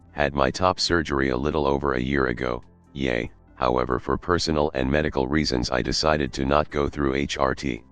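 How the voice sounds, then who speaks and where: Very deep-voiced male story narrator. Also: a bit bright. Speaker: narrator reading a Reddit story. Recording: faint background music.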